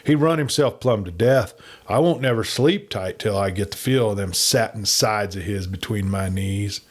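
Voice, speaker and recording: A man playing a gruff, yearning cowboy outlaw. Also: moderate pace, lightly acted. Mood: soft yearning. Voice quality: bass, growling.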